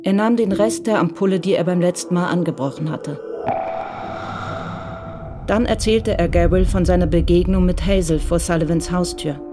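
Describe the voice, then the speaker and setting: A woman's voice: fairly low pitch, normal speed, over music. A woman reading scripted literary narration as the narrator of a radio crime drama.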